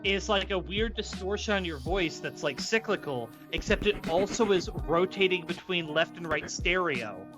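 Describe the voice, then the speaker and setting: A man's voice: high-pitched, expressive, moderate pace, few pauses. Teasing man with music behind him. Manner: teasing, blunt, swearing freely. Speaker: podcast co-host. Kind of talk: casual chat during a game stream. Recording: clear music playing behind the voice.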